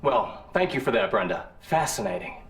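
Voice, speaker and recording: Casting: actor playing male news anchor, polished and glib, animated intonation, a little distant. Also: mid-range voice, moderate pace, natural voice.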